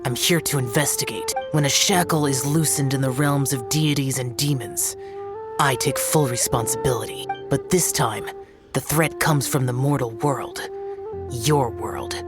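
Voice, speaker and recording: An actor playing a stern, aloof young immortal demon slayer in a video game, speaking in a cold, solemn, formal manner. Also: male voice, medium pitch, measured pace, natural voice. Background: game music.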